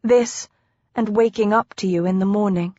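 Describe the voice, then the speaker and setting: A woman's voice: mid-range voice, fairly even intonation, moderate pace, dark tone, no echo, close to an everyday voice. A woman voicing a spirited, emotional young wife in a historical romance audiobook, with old-fashioned phrasing. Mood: earnest. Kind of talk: audiobook character dialogue. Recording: dry, slightly dull close recording.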